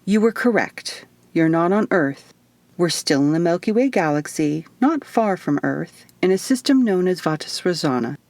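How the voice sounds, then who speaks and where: Woman reading as a firm male alien guardian, lowish voice. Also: animated, normal speed, a little mellow, no room sound. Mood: measured, explaining. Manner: firm, explanatory. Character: stern, matter-of-fact male alien guardian in human body. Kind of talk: audiobook character dialogue.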